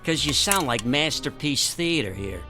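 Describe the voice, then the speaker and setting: A touchy, literal-minded repairman, played by a male actor, in a radio comedy. He is blunt and grumbly with big swings in pitch, and music plays prominently behind him. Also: expressive, normal speed, natural voice. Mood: deadpan, grumbling. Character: touchy, blunt, slow-witted repairman guarding his tools. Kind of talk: comic radio drama acting.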